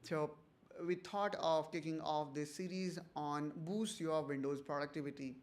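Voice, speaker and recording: A man's voice: fairly high pitch, steady tone, measured pace, darkish tone. A man hosting a casual tech livestream, talking hesitantly with many fillers and restarts while checking in with his viewers. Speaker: livestream host.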